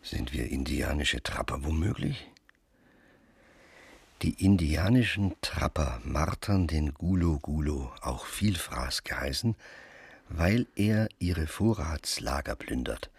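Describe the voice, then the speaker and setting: An actor in a German radio drama playing a gruff, sardonic middle-aged man who needles his companion in a gravelly voice. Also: extremely low pitch, expressive, slow, no room sound, full character voice. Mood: brooding. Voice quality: gravelly, raspy. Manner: sardonic, blunt, theatrical.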